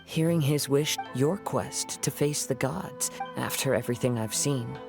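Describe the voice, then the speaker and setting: A man voicing a calm, thoughtful young wandering samurai in a video game, soft-spoken, measured and formal. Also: medium pitch. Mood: earnest, reflective. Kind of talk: scripted video game voice acting. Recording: clear music behind the voice.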